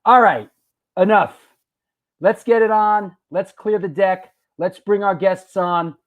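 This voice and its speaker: A high-pitched man hosts a live online show. He talks loosely and jokes around, stumbling over and repeating his words. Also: takes it slowly, narrow, tinny audio. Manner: loose, joking, repeats himself. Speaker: host.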